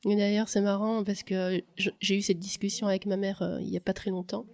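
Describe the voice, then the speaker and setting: A woman's voice: medium pitch, level tone, fairly quick, warm tone. Hesitant young woman sharing memories. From France. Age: young adult. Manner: reflective, hesitant, many fillers and restarts. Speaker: podcast guest. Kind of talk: personal testimony.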